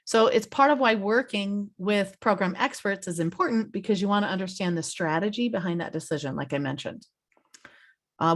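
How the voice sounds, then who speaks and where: A woman giving an explanatory slide presentation as a webinar presenter, in a conversational way. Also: medium pitch. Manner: conversational, explanatory, checks in with "right?". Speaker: webinar presenter, program expert.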